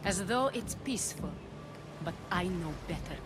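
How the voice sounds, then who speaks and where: A woman's voice: fairly low pitch, animated, moderate pace, lightly acted. An actress playing a composed, dignified and shrewd Athenian noblewoman in a video game cutscene. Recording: loud music behind.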